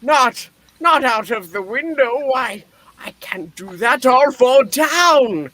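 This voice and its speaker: A performer reading the part of a frightened, wistful old miser in a theatrical play reading, with halting, dramatic delivery. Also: high voice, lively intonation, pushed and bright, heavily acted. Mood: frightened pleading.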